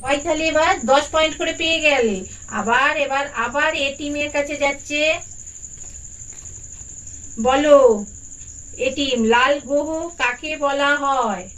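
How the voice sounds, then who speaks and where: A performer voicing an encouraging aunt doll who hosts a children's quiz in a puppet story, reading questions deliberately. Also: high-pitched, fairly even intonation, fairly bright tone, poor audio. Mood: encouraging, brisk. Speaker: quiz host.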